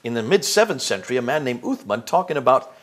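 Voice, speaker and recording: A man explaining his case at length to a listener. His voice sits at a middle pitch, and he turns emphatic when he poses rhetorical questions, pausing on fillers and restarting his phrases as he goes. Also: big pitch swings. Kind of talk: explanatory lecture to an interviewer.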